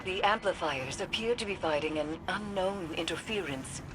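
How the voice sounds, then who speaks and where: An actor voicing a watchful machine companion in a video game, calm, precise and matter-of-fact. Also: male voice, high-pitched, normal speed, background noise.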